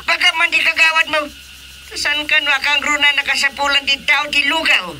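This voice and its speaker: A man's voice: very high voice, flat delivery, moderate pace, sharp tone, a little hiss or hum, full character voice. A man with an Ilocano accent playing an indignant, emphatic village chairman in a radio comedy drama. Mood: agitated complaint. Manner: emphatic, complaining. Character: indignant male village chairman lodging a grievance.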